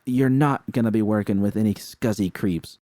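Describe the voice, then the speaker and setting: A frank, caring young woman technician read by a man in an audiobook story. The delivery is slangy, halting and reassuring, in a mellow voice with a dry, close sound. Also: on the low side, no room sound, close to an everyday voice. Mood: earnest, encouraging.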